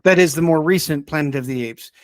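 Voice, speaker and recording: A man hosting a casual podcast, talking enthusiastically but haltingly as he searches for names and details. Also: a little high, dry recording, clean studio sound. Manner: enthusiastic about the subject. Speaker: podcast host.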